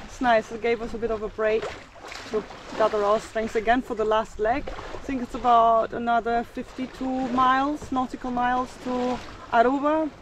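A woman's voice: fairly high pitch, distant mic. Woman vlogging on a windy boat deck. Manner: chatty, matter-of-fact storytelling. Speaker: sailing vlogger. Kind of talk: casual vlog narration to camera. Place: outdoors on a sailboat deck at sea. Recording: wind and water noise behind.

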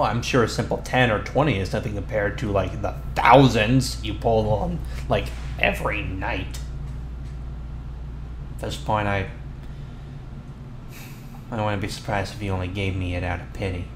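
A young man playing a flustered, tough-talking bully with a soft crush in an ASMR boyfriend roleplay, stammering and halting bashfully. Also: fairly low pitch, lightly acted. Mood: sullen, embarrassed. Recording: roomy echo, noisy ambience behind.

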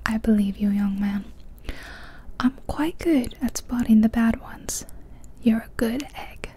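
A woman voicing a kindly, knowing old male candy shopkeeper in a soft whisper. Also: a little high, measured pace, a little hiss or hum, lightly acted. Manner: whispered, gentle, reassuring.

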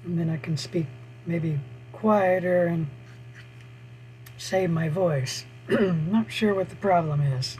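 A middle-aged man with a hoarse voice, teaching a college biology class on a video call, speaking haltingly with frequent pauses. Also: on the high side, darkish tone, a little hiss or hum, low-quality recording. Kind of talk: online lecture over screen share. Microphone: computer mic on a video call.

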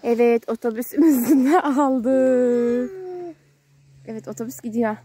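A woman with a high voice talks to a small child during play. She goes slowly, repeating simple phrases and questions in a playful sing-song way. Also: fairly even intonation, very noisy. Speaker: parent playing with a young child on a kids' channel. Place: outdoors at a sand and gravel pile.

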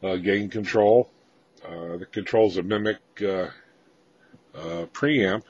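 A man giving an informal, unscripted walkthrough of equipment, pausing often mid-phrase as a hobbyist presenter. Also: deep voice, a little mellow, slightly rough, no echo. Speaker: hobbyist presenter of radio gear. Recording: dull lo-fi sound with faint noise.

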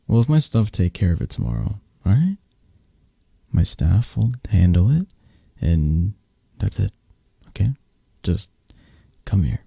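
A man playing a possessive, flirtatious mafia boss in an intimate ASMR romance roleplay, murmuring sleepily and teasingly with a breathy, husky voice. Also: low voice, sing-song, unhurried, pauses often, dark tone, no echo, studio quality, full character voice. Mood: commanding, teasing.

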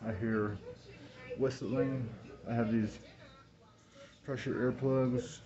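A man in his thirties in a rambling, halting monologue, repeating words and phrases, recorded on a phone indoors through a cloth mask. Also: mid-range voice, steady tone, dull audio, crowd noise. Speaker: vlogger talking to camera. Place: small room indoors. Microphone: phone at arm's length, speaking through cloth mask.

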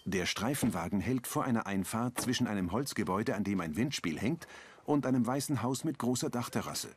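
Calm male audio-description narrator. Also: fairly low pitch, brisk, slight background noise.

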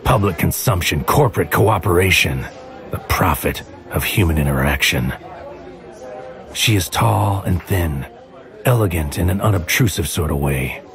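A man playing a brooding, paranoid hitman, delivering a deliberate, poetic inner monologue in a surreal audio drama. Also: deep voice, animated, fairly bright tone, a faint crowd. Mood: brooding, haunted. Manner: deliberate, poetic, often dropping into whispers. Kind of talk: scripted noir-like audio drama narration. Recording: music under the voice. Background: music.

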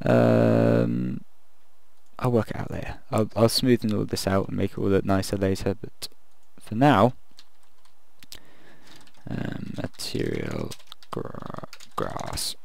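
A man casually commenting while he builds in a video game, thinking aloud and rambling, his voice croaky from the late hour. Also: lowish voice, very animated, slow pace, pauses often, darkish tone. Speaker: gaming video creator.

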